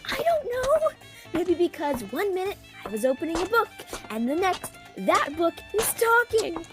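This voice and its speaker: A woman's voice: extremely high pitch, very animated, normal speed, over music. A woman playing a whiny, exasperated schoolkid in a radio play, stammering when flustered. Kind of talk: student radio theatre acting.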